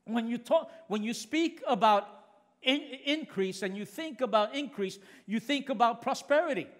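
Excitable middle-aged male preacher, ringing hall. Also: very high-pitched, moderate pace. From Puerto Rican heritage. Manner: excitable, earnest, restarts when fired up. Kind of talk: sermon. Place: large church hall. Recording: long reverb of a big hall.

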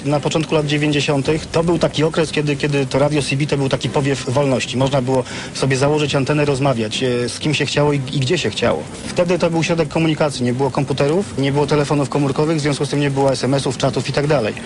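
A man's voice: a little high, steady tone, fast talker, few pauses. A middle-aged man answering interview questions in an explanatory, opinionated, articulate way. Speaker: veteran CB radio user, interviewee. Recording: clearly noisy, vehicle sounds behind.

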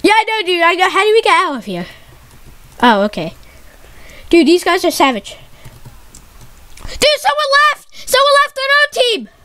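Excitable woman gamer, very high and bright voice, bouncy and jumpy delivery, talks to a teammate. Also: normal speed, long pauses. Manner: excitable, repeats warnings, says dude constantly. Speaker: YouTube gamer. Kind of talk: live gameplay commentary with a friend.

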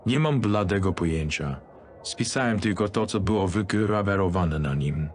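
Man acting a low-voiced, brusque dignitary. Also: expressive, normal speed, a little hiss or hum. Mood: curt, impatient. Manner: cool, curt, haughty. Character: brusque, demanding male dignitary customer. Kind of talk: acted dialogue in a dark fantasy audio drama.

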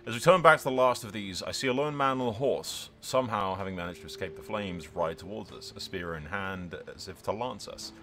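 A man's voice: fairly low pitch, fairly quick, hardly any pauses, noisy background. A man hosting a gaming video, mixing dramatic role-play storytelling with sweary, casual strategy game commentary, in a theatrical manner.

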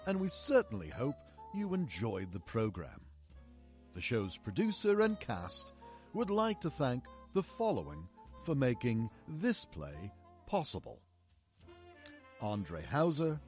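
A man announcing a radio play in an old-time broadcast style, theatrical and deliberate. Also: mid-range voice, a little mellow. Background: music.

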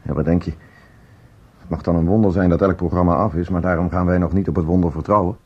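A man's voice: deep voice, steady tone, quick pace, breathy edge, muffled recording. A man acting in a radio play as an obsessive, aggrieved broadcaster and estranged husband, speaking with insistent, indignant emphasis. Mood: solemn, deliberate. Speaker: actor.

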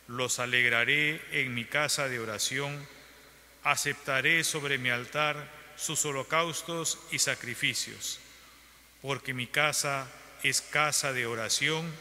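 A man reading scripture aloud at Mass as lector, in a measured, solemn way. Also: medium pitch, bright, forceful tone. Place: large church. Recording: church broadcast with long reverb.

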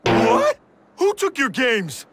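High, lively schoolboy rapper. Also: male voice, slow, vocal fry, exaggerated voice. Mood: friendly, casual. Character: upbeat, earnest young schoolboy rapper. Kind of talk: animated game cutscene rap. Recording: hip hop music under the voice.

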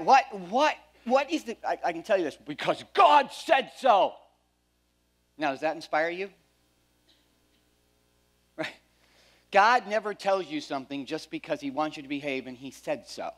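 A middle-aged man pastor preaching a sermon on a church stage, animated, swinging between loud emphasis and quiet asides. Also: high voice, normal speed, pauses often.

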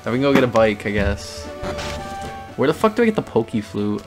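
A man's voice: on the low side, sing-song. Guy co-hosting a game playthrough; crude, mocking banter, heavy swearing, light game music underneath. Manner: reads in-game dialogue aloud quickly. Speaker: let's play co-host, player. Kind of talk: casual gaming commentary.

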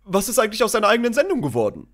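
A man's voice: sits high, huge pitch swings, racing, fairly bright tone, voice pushed up, full character voice. A man voicing a chummy male TV football host in a parody, chatty and mock-formal. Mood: chummy, presenting. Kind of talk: parody of sports presenting.

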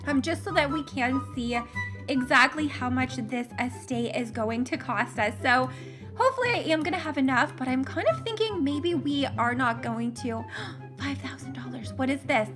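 A woman's voice: sits high, lively intonation, moderate pace, music in the background. A young woman hosting a gaming channel, commenting casually with gushing gasps and giggles while losing her voice. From Canada.

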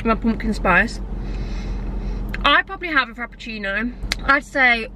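A woman's voice: a little high, expressive, measured pace, noisy background. Chatty young woman with a blocked nose. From England. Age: young adult. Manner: chatty and gushing, talks while eating. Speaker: lifestyle vlogger. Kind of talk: casual chat to camera. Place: parked car, then a home kitchen. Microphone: camera mic at arm's length.

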